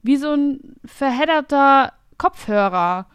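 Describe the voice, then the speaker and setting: A woman in her late twenties hosting a podcast, thinking out loud in casual chat with a reflective, self-mocking manner. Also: sits high, lively intonation, slow pace, no echo. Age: late 20s. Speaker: podcast host.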